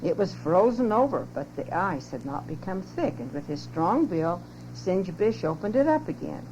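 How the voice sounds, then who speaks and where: A grandmotherly low-voiced woman reading a picture book aloud at home, heard on an old humming cassette. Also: moderate pace. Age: older. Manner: expressive read-aloud. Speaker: storyteller. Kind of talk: scripted children's story narration. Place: home tape recording. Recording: lo-fi muffled home cassette, loud hum.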